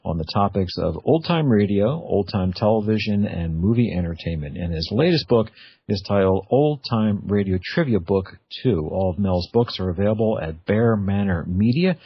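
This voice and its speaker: Middle-aged man, low pitch, casual halting radio host, dull lo-fi sound. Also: husky, no room sound. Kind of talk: casual interview chat.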